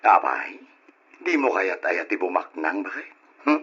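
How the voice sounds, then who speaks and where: Casting: brash, greedy lender, slow gloating delivery, Ilocano accent, muffled noisy radio serial sound. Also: male voice, sits low, very animated, fairly bright tone, husky, full character voice. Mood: smug. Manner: gloating, swaggering, often chuckling. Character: greedy, brash moneylender husband who gloats while collecting debts. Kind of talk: old radio drama acting in Ilocano. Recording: muffled, noisy old radio drama recording.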